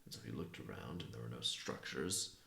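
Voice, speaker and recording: A man improvising a folktale, telling it haltingly with pauses between phrases in a gravelly, creaky voice. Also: a bass voice, animated, bright, forceful tone. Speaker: writer improvising a story. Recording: roomy, echoing sound.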